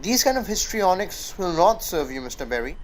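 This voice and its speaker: A young performer playing a smug, condescending male company lawyer in a student radio drama, measured and sardonic. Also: medium pitch, big pitch swings, lightly acted. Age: young adult.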